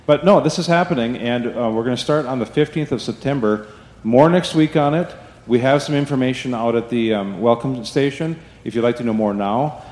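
A man's voice: mid-range voice, brisk, darkish tone. A man giving church announcements to the congregation in a chatty way, often asking "right?". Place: church sanctuary. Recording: echoey hall, faint ambience.

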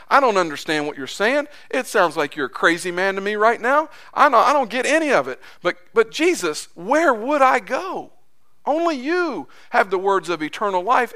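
A man preaching a sermon in a church, impassioned, his voice climbing high as he acts out quotes, then dropping low and soft. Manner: impassioned, voice rising high when acting out quotes. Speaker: pastor.

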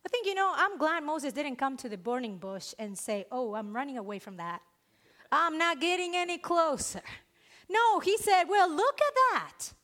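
A woman's voice: high-pitched, big pitch swings, moderate pace, fairly bright tone. A woman in her thirties with a Spanish accent, preaching a sermon earnestly and emphatically.

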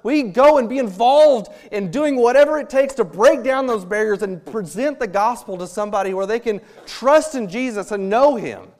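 High-voiced man preaching animatedly. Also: normal speed, few pauses. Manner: animated, acts out quotes with humor. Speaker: missionary preacher. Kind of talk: sermon.

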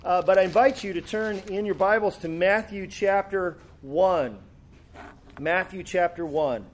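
Man, pastor, high voice, sore throat, relaxed sermon delivery. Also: measured pace. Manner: relaxed, conversational, with fillers and restarts. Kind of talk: sermon with scripture reading. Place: church sanctuary.

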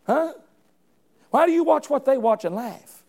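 A middle-aged man preaching with fervent, folksy conviction. His voice sits high for a man and swings widely in pitch, with a gravelly edge. He restarts phrases often and keeps calling out to the congregation. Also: expressive, unhurried, darkish tone. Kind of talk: sermon.

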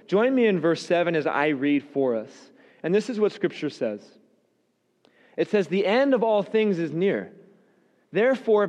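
A man preaching a sermon, his voice raspy and hoarse because he is losing it, speaking in an earnest, emphatic cadence. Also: fairly high pitch, darkish tone. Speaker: pastor. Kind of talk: sermon with scripture reading and prayer. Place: church sanctuary. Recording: long hall reverb.

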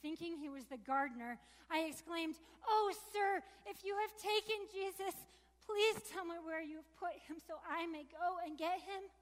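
A woman's voice: high-pitched, takes it slowly, a bit bright. A woman performing a biblical disciple in a heartfelt, emotionally charged first-person monologue. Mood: distraught. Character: grieving devoted woman disciple, earnest and emotional. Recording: long echoing reverb of a large hall.

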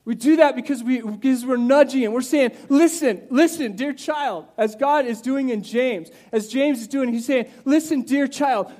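High-voiced man preaching with animated energy. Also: moderate pace, hardly any pauses. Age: 40s. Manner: animated, conversational preaching with rises in pitch and volume; restarts and asides to the audience. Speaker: pastor. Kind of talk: sermon.

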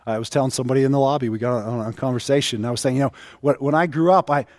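A man in his fifties preaching a sermon, leaning on pauses and repetition for emphasis. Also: mid-range voice, darkish tone, dry recording, studio quality, in a large hall. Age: 50s. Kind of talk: sermon to a congregation.

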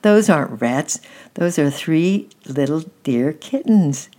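A woman's voice: deep voice, expressive, slow, dark tone, voice pushed up. A woman reading aloud, voicing an eager young girl in a children's storybook with a raised, urgent delivery. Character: excited young girl comforting her brother.

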